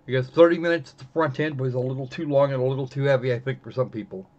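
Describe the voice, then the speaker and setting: A man's voice: medium pitch, fairly even intonation, fast talker, a little mellow. A man hosting a live game stream in casual chat, rambling and often correcting himself mid-sentence. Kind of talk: casual livestream chat while gaming. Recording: dull, lo-fi voice recording.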